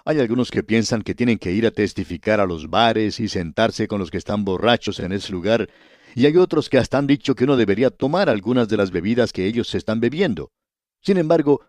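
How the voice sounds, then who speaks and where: A man giving a radio Bible study in a measured, didactic way, addressing the listener directly as a teacher. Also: mid-range voice, darkish tone, dry recording.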